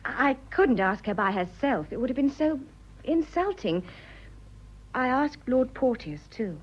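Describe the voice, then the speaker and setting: A woman's voice: high voice, lively intonation, a little slow, husky, heavily acted. An actress with a British accent playing an earnest, hesitant, idealistic young wife in her twenties in a radio drama. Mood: apologetic. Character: romantic, idealistic, nervous young English wife of twenty-five. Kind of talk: stage comedy radio drama acting. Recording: slightly dull, lo-fi audio.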